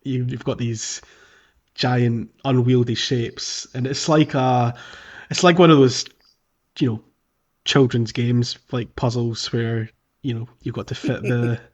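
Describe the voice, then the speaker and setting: Guy podcast cohost chatting about games, kinda halting, thinking out loud, bit muffled lo-fi audio. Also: mid-range voice, steady tone. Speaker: podcast co-host. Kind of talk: casual video game discussion. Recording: slightly dull, lo-fi podcast audio.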